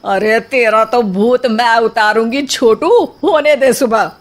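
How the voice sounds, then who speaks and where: A woman acts out a family elder in a comic household story. The voice is fairly high and the lines come slowly, as acted dialogue. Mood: scolding. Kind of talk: slow story dialogue acting.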